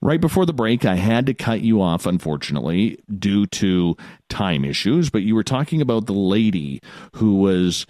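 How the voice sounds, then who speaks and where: A man's voice: on the low side, sing-song, moderate pace, darkish tone, no room sound, clean studio sound. A man hosting a late-night radio show, chatting casually and joking over word mix-ups.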